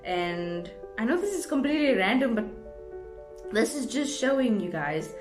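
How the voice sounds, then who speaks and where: A woman's voice: on the high side, very animated, moderate pace. South African woman vlogging in an echoey room. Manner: effusive, gushing. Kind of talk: casual, rambling chat to viewers. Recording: roomy indoor echo, music underneath.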